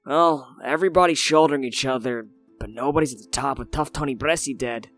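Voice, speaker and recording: A man's voice: medium pitch, a little fast, a bit bright, natural voice. A man voicing a practical sidekick in a comic dub, giving a matter-of-fact briefing. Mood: businesslike. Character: practical male underworld intelligence sidekick. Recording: music behind the voice.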